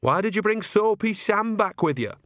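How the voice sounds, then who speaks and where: A man's voice: high-pitched, lively intonation, moderate pace, fairly bright tone, no room sound, clean studio sound, pitched above the performer's own voice. A man voicing a bossy, cheerful old woman, a witch with a piercing voice and blunt, rustic, teasing talk in a storybook. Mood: wry, teasing.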